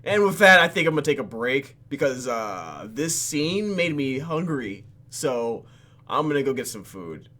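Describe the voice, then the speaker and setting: A man hosting a let's play, reading game text aloud and adding sarcastic, animated asides. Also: medium pitch, a little slow. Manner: animated, sarcastic, exclaims and mutters. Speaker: YouTube gaming host.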